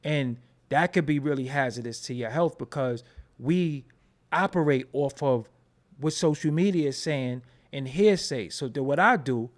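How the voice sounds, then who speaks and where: A man's voice: mid-range voice, normal speed. A man with a New York accent giving loose, profane commentary and often asking "you know what I'm saying". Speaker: commentary vlogger. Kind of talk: casual commentary.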